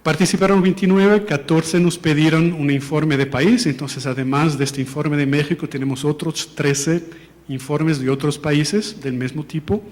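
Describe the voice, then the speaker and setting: Presenter, male, Portuguese accent, fairly high voice, halting and careful, recorded in a reverberant hall. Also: steady tone, darkish tone. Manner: careful, hesitant, frequent fillers and self-corrections. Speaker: presenter of an education policy report. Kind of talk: formal presentation to an audience. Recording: long reverb of a large hall.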